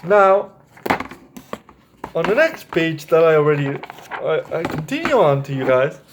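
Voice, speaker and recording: Slow, animated man hosting children's storytime. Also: a little high, noisy background. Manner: addresses children directly, often checks with "right?". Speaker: storytime host reading to children. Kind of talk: explaining to children, read-aloud storytelling.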